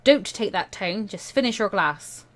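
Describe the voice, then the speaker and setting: A woman's voice: medium pitch, animated, measured pace, close to an everyday voice. A woman voicing a casual, wry adult male mentor in a visual novel, coaxing and giving instructions. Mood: casual, bossy.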